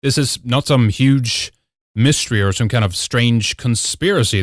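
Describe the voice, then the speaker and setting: A man's voice: mid-range voice, no echo, clean studio sound. A man from Sweden with a Swedish accent, hosting a talk radio show. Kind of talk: talk radio monologue and guest introduction.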